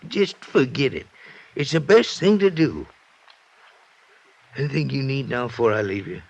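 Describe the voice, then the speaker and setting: A male actor playing an evasive rural American motel keeper in an old radio drama, folksy, gravelly and halting. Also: mid-range voice, expressive. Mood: guarded, dismissive. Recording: muffled vintage radio recording.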